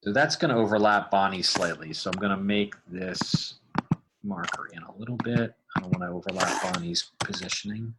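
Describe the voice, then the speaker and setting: Deep-voiced male tutorial host, informal think-aloud delivery, noticeably noisy recording with clicks. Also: very animated, normal speed, gravelly. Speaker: podcast creator and sound designer. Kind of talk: casual software tutorial narration. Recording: background noise, mouse clicking.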